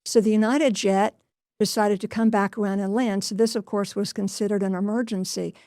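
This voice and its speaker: A woman working as a news correspondent, explaining a story in a deliberate way, restating key points as she goes. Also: medium pitch, fairly even intonation, warm tone, dry recording, studio quality. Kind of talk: news report, semi-scripted explanation.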